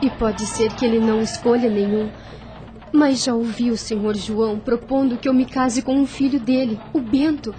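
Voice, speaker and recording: An actress playing a meek, sweet young Brazilian heiress in a radio drama, speaking gently and formally. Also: female voice, fairly high pitch, fairly even intonation, moderate pace, soft background music, lightly acted. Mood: anxious.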